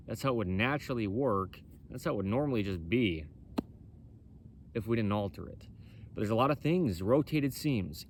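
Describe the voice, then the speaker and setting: A man with a loud, carrying voice giving an impromptu explainer as a presenter, bold, emphatic and repetitive in how he talks. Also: lowish voice, a little hiss or hum. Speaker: presenter for a clothing company. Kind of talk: impromptu explainer on body mechanics.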